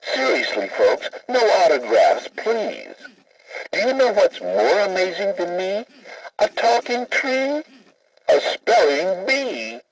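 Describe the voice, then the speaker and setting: A man's voice: high-pitched, very animated, takes it slowly, creaky, exaggerated voice. A man voicing a vain, jolly talking Christmas tree toy, hammy and full of puns, on a muffled, poorly recorded cassette. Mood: showy, boastful. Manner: hammy, boastful, jokey, punning. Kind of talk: scripted novelty toy patter and carol singing.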